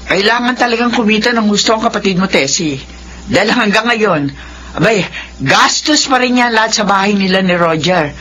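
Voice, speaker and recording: A woman's voice: mid-range voice, animated, moderate pace, fairly bright tone. An actress playing a disapproving, fretful older mother and grandmother in a radio drama, scolding and exclamatory. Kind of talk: Filipino radio drama acting.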